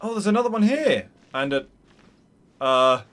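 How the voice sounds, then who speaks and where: A woman's voice: lowish voice, very animated, moderate pace, background noise. A woman giving casual, chatty video game commentary as a streamer, with playful short asides.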